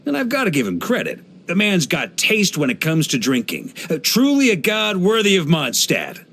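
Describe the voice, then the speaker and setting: An actor playing a hearty, easygoing veteran knight grandmaster in a video game, speaking in an expressive, playful, conversational way. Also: male voice, high-pitched, normal speed, heavily acted. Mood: warm, admiring. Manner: jovial, expressive, playful, chuckling asides.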